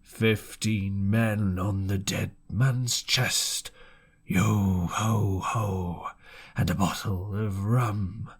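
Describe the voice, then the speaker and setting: A man reads a classic story aloud as an audiobook narrator. His delivery is slow and measured, set at a medium male pitch, with deliberate pauses between phrases. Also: creaky, a little mellow, breathy edge.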